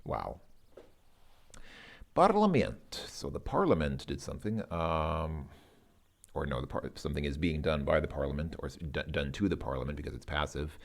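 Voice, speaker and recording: A man giving an informal language lesson, reading and translating aloud in a halting way as he thinks through each word. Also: a bass voice, sing-song, vocal fry. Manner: halting, thinking aloud, sounding out words slowly. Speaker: host working through a Russian lesson as a learner.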